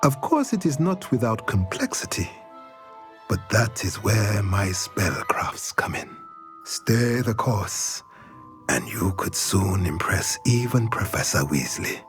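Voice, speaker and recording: Low-voiced, animated magic shopkeeper. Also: male voice, slow, soft background music. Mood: welcoming, savoring. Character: courteous, enthusiastic male magic-shop proprietor. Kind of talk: video game character acting.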